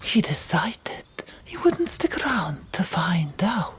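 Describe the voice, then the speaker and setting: A woman narrating an audiobook in a whispered ASMR style, with deliberate, dramatic storytelling. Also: medium pitch, sing-song, breathy edge.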